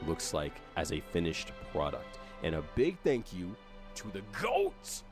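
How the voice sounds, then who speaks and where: A man's voice: low-pitched, huge pitch swings, a little slow. A man narrating a game team's update in an earnest, informal way, speaking directly to the audience. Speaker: announcer for a game development team. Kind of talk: semi-scripted update and thank-you narration. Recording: music playing clearly behind the voice.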